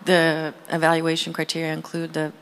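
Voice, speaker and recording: A woman's voice: low voice, monotone, normal speed, fairly bright tone, poor audio. A woman giving an informal spoken readout for her group at a meeting. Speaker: roundtable participant reporting back. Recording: faint background room noise.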